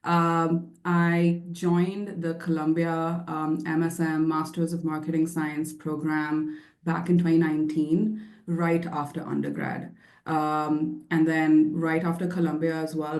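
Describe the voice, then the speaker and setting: A woman from India with an Indian accent introducing herself on a panel, friendly and measured, on a video call. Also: low voice, darkish tone. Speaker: panelist, data analytics director. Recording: echoey room on a call.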